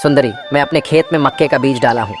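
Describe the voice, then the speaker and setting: A man's voice: mid-range voice, fast, music in the background, close to an everyday voice. A man voicing an earnest, polite young crow farmer in a children's cartoon story.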